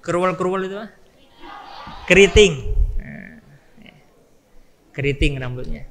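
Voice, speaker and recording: A man's voice: on the high side, a little slow, creaky, fairly bright tone, a little hiss or hum. A man preaching in a storytelling style, emphatic, repeating phrases and putting questions to his listeners. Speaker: Islamic preacher. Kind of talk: storytelling sermon to a live congregation. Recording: long hall reverb.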